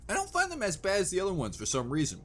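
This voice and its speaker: A man's voice: high voice, huge pitch swings, very fast, fairly bright tone, soft background music. A man hosting a reaction video, giving casual, irreverent comedic commentary full of jokey asides.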